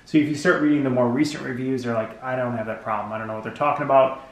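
A man's voice: medium pitch, steady tone, rapid-fire. A man in his thirties giving a casual home gym product review, rambling with many fillers and restarts, recorded indoors on a camera mic a few feet away. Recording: very echoey room.

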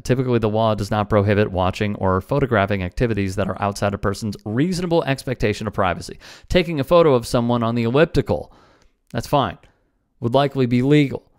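A man's voice: lowish voice, fast talker, clear, resonant tone, dry recording, clean studio sound. A man delivering scripted sports news commentary in a stern, lecturing tone.

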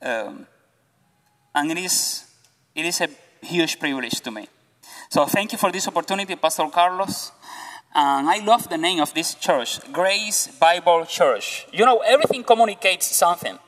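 A man in his thirties with a Cuban accent, preaching as a guest on a church stage with a slow, careful delivery. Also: high-pitched, lively intonation, fairly bright tone. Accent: Cuban Spanish. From Cuba. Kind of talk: sermon. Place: church stage, large hall. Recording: long reverb of a church hall.

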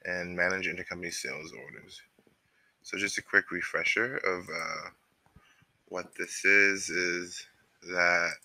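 A man giving an informal step-by-step software walkthrough as a trainer, explaining and thinking aloud as he goes. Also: deep voice, dry recording, rough recording.

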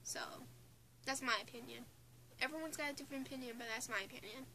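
A woman's voice: high-pitched, quick pace, pushed and bright, slight background noise. An eighteen-year-old woman with a Newfoundland accent, chatting casually into a camera in her bedroom, rambling with restarts and giggles. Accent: Newfoundland English. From Newfoundland, Canada. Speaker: vlogger. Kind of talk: casual opinion vlog. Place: small bedroom under a bunk bed, indoors. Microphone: phone or webcam close in front.

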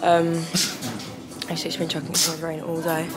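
A woman's voice: deep voice, completely flat delivery, a little fast. Chatty young woman vlogging. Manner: chatty, breezy, trailing ums. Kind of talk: casual vlog narration. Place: outdoor city streets and busy indoor markets. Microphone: handheld camera at arm's length. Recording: loud crowd and music behind.

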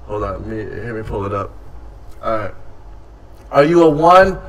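A man's voice: on the low side, expressive, moderate pace, far from the mic, crowd noise, rough recording. Slangy young man streamer, slightly off-mic. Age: young adult. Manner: slangy, swearing, playful teasing. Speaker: streamer host. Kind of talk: casual live-stream chat. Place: indoor room at a desk.

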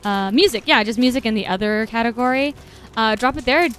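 A woman's voice: a little high, brisk, a bit bright, a little hiss or hum. Bubbly young woman. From Netherlands. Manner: chatty, laughs often, reads out viewer comments. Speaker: livestream co-host. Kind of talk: casual gaming stream chat.

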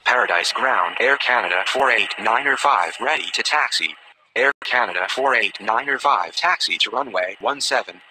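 A man's voice: mid-range voice, expressive, moderate pace, bright, forceful tone, rough recording. A man giving formal, clipped air traffic radio callouts in a scripted style. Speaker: air traffic radio voices in a simulation game.

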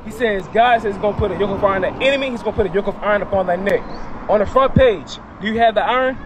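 A man's voice: sits high, rapid-fire, a little hiss or hum. A young man street preaching outdoors into a handheld mic, emphatic and questioning, often repeating words. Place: outdoors by a parking lot.

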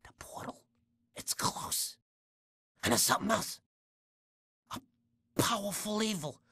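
An actor playing a small, mouthy, excitable undead sidekick in a video game, theatrical and easily indignant. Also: male voice, sits high, unhurried, creaky, breathy edge, full character voice. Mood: ominous. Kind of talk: video game cutscene acting.

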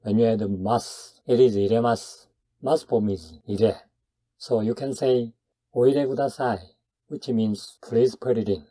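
A man's voice: medium pitch, fairly even intonation, measured pace, dark tone. A man teaching grammar in a scripted lesson, explaining step by step in short phrases. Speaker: Japanese language teacher.

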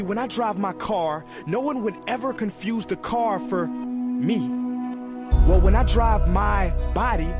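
Slow deliberate spoken-word man. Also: sits high. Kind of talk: scripted spoken-word monologue.

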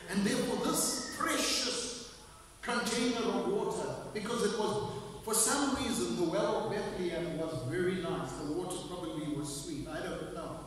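Older pastor preaching in an echoey hall. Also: male voice, sits high. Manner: emphatic, slows down to stress key phrases. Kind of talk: sermon. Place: small church hall with stage and pulpit. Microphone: room mic at a distance. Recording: echoey hall, distant mic, faint hiss.

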